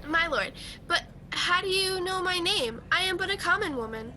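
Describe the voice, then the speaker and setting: A humble young bride-to-be, acted by a woman, with a high, bright voice. She is earnest and devout in radio-drama style, recorded at home with faint noise and rumble. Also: normal speed, exaggerated voice. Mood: startled, confused. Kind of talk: radio play acting.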